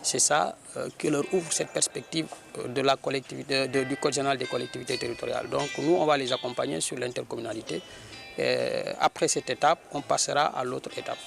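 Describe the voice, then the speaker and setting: Very creaky-voiced Senegalese man. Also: mid-range voice, fairly even intonation, brisk, a bit bright, soft background music. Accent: Senegalese French. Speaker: local development agency official. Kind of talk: interview statement to press.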